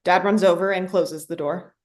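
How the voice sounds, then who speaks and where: A woman's voice: on the low side, steady tone, normal speed, darkish tone. A woman reading scripted stage directions as the narrator of a play reading.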